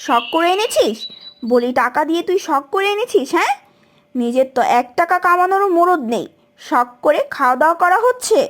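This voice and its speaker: A woman's voice: extremely high pitch, animated, brisk, fairly bright tone. A woman voicing a bad-tempered village aunt and housewife in a cartoon, nagging with a sarcastic edge. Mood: sarcastic scolding. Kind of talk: animated cartoon voice acting.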